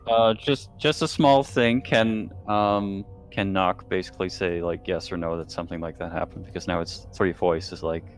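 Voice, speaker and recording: A man chatting casually as a tabletop game player, in a hesitant, halting manner. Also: low-pitched, steady tone, soft background music. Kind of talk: casual chat.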